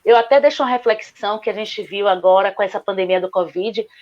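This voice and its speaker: A woman's voice: mid-range voice, quick pace, a little mellow, dull audio. A woman from Salvador with a Bahian accent, answering questions on an online panel in an earnest, argumentative way. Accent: Bahian Portuguese. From Salvador, Bahia, Brazil. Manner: earnest, argumentative, repeats "justamente". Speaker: guest speaker, lawyer.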